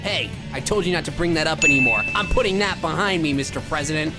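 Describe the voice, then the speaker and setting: A lively cartoon parody character, performed by a woman, with animated pitch movement. The improvised dub lines sit under loud music. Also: fast talker. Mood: indignant. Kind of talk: improvised comedy fan dub.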